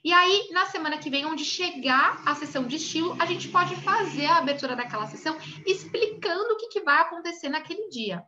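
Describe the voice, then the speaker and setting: A woman's voice: sits high, animated, brisk, hardly any pauses, sharp tone, lo-fi sound, a little hiss or hum. A Brazilian woman teaching an online class on image consulting, chatty and full of little check-ins like ok. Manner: chatty, many tag questions like ok and né.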